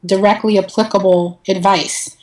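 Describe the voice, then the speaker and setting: A woman's voice: medium pitch, level tone, normal speed, a little mellow. A woman speaking as a podcast guest, telling stories casually in a joking, candid way. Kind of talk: casual conversational storytelling. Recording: roomy echo, faint noise.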